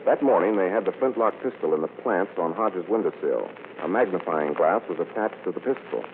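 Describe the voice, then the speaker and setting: An actor playing a suave, self-assured American gentleman detective, measured and authoritative, in 1940s radio drama style. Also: sits low, expressive. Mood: assured, explanatory. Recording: tinny, noisy 1940s radio recording.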